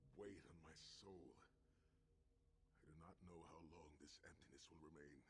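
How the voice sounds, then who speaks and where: Deep-voiced, weary freed warrior. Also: male voice. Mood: bleak. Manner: grave, formal, measured speech. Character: noble weary freed warrior, tormented but honourable. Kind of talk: scripted video game dialogue.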